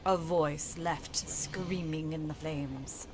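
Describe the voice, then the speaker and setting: Woman voicing a solemn, slow-speaking ghostly sister. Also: low-pitched, steady tone. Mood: cold, accusing. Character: long-dead sister's spirit, solemn, knowing, finally forgiving. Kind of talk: dramatic video game mod voice acting.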